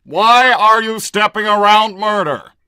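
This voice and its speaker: Stern literal male robot, played by a man; smooth, crisp, clipped commands, dry mic. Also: sits high, a little slow, bright, forceful tone, no room sound, heavily acted. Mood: clinical.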